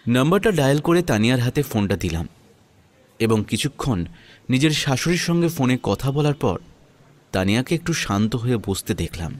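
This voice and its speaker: A man narrating a scripted first-person audio story in a measured, dramatic storytelling manner. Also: medium pitch, big pitch swings.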